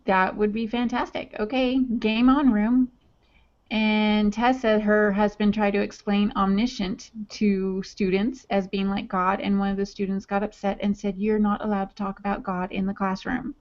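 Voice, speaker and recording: A woman's voice: mid-range voice, flat delivery, moderate pace. A woman co-hosting a writing podcast, reading listener chat comments aloud in an upbeat, chatty way. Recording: dull lo-fi audio.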